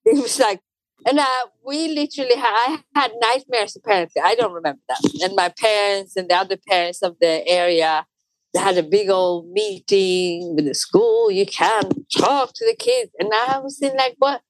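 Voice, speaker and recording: A woman's voice: mid-range voice, moderate pace, dry recording, poor audio. A young adult woman from Sweden with a Swedish accent, chatting casually as an interview guest with many fillers and restarts.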